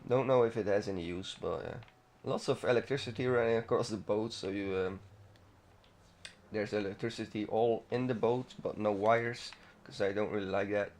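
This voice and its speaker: A man's voice: lowish voice, steady tone, normal speed, darkish tone. A man hosting a gaming video, giving casual, unscripted walkthrough commentary in a rambling, self-interrupting manner.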